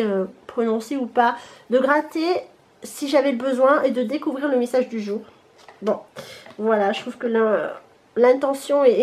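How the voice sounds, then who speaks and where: A woman's voice: high voice, slight background noise. A woman in her thirties from Bordeaux with a blocked nose, chatting casually to camera at home about her gifts, rambling with many fillers. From Bordeaux, France. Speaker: vlogger. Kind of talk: casual haul chat to camera. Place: home room with shelves and Christmas decor, indoors.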